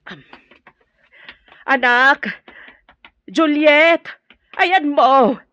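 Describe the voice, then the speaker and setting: A woman's voice: high voice, drawn-out words, pushed and bright, lo-fi sound, full character voice. A woman with a Filipino accent playing an anxious, protective older mother in a radio drama, pleading and emotional. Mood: frantic, searching. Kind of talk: Ilocano radio drama acting.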